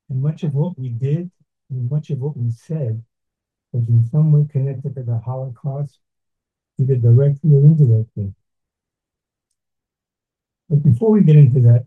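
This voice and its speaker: An older man from Brooklyn, giving a slow, deliberate, reflective talk with pauses. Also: mid-range voice, husky. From Brooklyn, New York. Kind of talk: measured reflective address. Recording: tinny phone-like sound.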